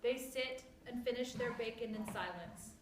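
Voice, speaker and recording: A woman's voice: fairly high pitch, moderate pace. A woman narrator giving a scripted reading of stage directions. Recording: echoey room, distant mic, background noise.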